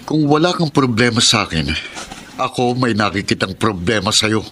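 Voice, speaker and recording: A man's voice: fairly low pitch, animated, moderate pace, a little hiss or hum. A man playing a weary, stern security guard husband in a Filipino radio drama. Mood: stern, suspicious. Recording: loud music bed behind voice.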